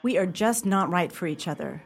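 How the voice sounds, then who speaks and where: A woman's voice: on the low side, expressive, moderate pace, vocal fry, husky, full character voice. An actress playing a blunt, fiery young woman in a radio play, forthright and exasperated. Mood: exasperated, insistent.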